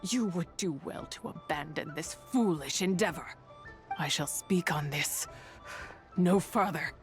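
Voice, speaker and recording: A woman's voice: low-pitched, unhurried, soft background music. A woman playing a grave, forbidding figure in a fantasy game, speaking formally and portentously. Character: grave, ominous woman issuing a stern supernatural warning. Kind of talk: fantasy video game voice acting.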